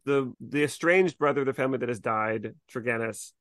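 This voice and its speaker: A podcast co-host with a mid-pitched voice, talking casually and retelling scenes in a conversational style. The sound is close and dry. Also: fairly quick, a bit bright, no echo. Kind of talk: casual discussion of a TV episode.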